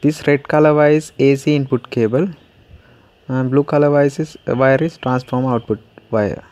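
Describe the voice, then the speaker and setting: A man's voice: mid-range voice, steady tone, moderate pace, a little mellow, no room sound. A man narrating a hands-on repair tutorial step by step, in short, simple, non-native English sentences. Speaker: DIY repair tutorial host. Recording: clearly noisy home recording.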